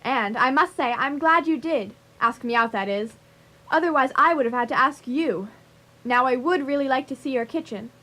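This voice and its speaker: A confident woman who runs a dojo, played by an actress in a radio comedy. Her voice is high-pitched. She is direct, patient and probing, with a flirtatious streak. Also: moderate pace, pushed and bright, poor audio. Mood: pleased, forward. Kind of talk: radio theatre comedy acting.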